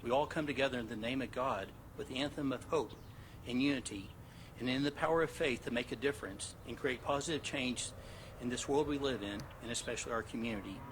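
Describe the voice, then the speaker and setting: A man's voice: mid-range voice, quick pace. A man giving a formal public address. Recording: noisy, vehicle and ambient sound.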